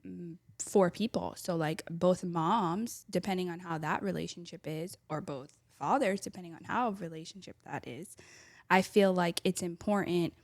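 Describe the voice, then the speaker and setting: A soft-spoken young woman chatting casually on a podcast, hesitant, full of fillers and trailing off quietly. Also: fairly low pitch, moderate pace, no room sound, clean studio sound. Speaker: podcast co-host. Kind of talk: casual chat. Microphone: close studio mic.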